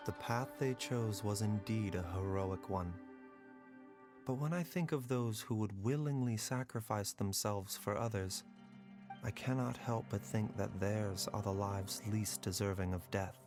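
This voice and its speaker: A man playing a gentle, composed physician in a video game, speaking in a measured, formal and compassionate way. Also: on the low side. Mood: solemn. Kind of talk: dramatic video game voice acting. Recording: music playing behind the voice.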